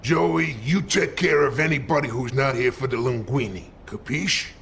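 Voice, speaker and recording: A man playing a fatherly, foul-mouthed mafia boss with a gravelly voice in a crime game. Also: mid-range voice, moderate pace, a bit bright, a little hiss or hum. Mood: commanding.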